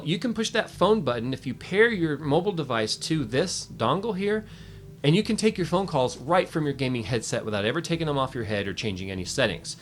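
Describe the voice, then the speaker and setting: A man's voice: fairly high pitch, a bit bright, a little hiss or hum. A man giving a casual, opinionated, conversational product review as host.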